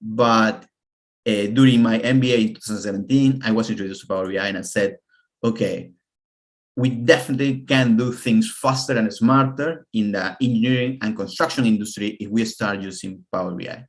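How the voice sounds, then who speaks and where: A man's voice: on the low side, brisk. A man in his thirties from Peru, with a Peruvian Spanish accent, giving an earnest online presentation over a video call and often repeating words as he searches for them. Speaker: webinar presenter.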